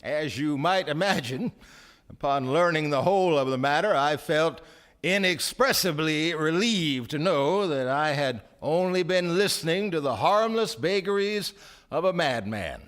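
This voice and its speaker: Fretful proper gentleman narrator, high voice, super animated, formal old-fashioned radio play storytelling. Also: measured pace. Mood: relieved. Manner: florid, formal, melodramatic storytelling. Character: genteel, shaken gentleman narrator, earnest and fretful. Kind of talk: live radio drama narration. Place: theater stage before a live audience.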